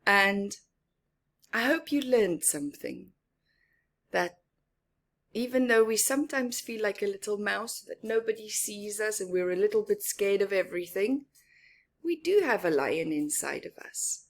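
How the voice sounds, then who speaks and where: A woman's voice: mid-range voice, animated, measured pace. A woman reading a story aloud to young children, expressively, with simple explanatory asides. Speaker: children's story-time reader.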